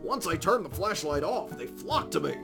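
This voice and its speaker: A gravelly older male scientist, acted out by a man for a visual novel game. He is nerdy and pedantic, easily indignant, and his pitch swings widely as he explains, with music underneath. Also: sing-song. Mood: earnest reminiscing. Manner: pedantic, halting storyteller. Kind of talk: visual novel fan voice acting.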